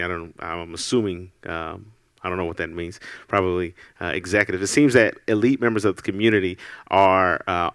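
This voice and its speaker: A man moderating a forum, putting questions to panelists in a measured, halting way as he picks his words. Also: sits low, bright, forceful tone, clear, resonant tone, no echo, clean studio sound.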